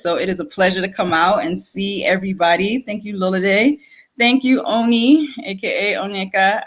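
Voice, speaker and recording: A woman in her late thirties, born in Nigeria and raised in Connecticut, telling her story as a guest in a casual interview, lively and repeating words as she goes. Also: mid-range voice, low-quality recording. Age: late 30s.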